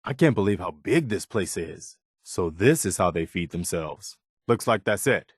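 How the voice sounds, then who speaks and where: A man playing an earnest, weary and idealistic militia officer in a post-apocalyptic video game, speaking in a measured way. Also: deep voice, expressive, husky, no echo, clean studio sound. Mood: alert, focused. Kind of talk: video game character dialogue.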